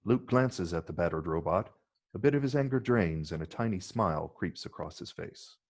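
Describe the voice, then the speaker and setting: Low, gravelly male screenplay narrator. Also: animated, normal speed. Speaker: narrator reading stage directions.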